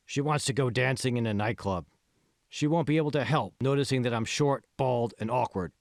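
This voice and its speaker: A man's voice: medium pitch, fairly quick, vocal fry, no room sound, clean studio sound, natural voice. A man reading the part of an awkward, insecure tech billionaire in an audiobook, with short, hesitant questions and answers. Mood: anxious. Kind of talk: audiobook character voice.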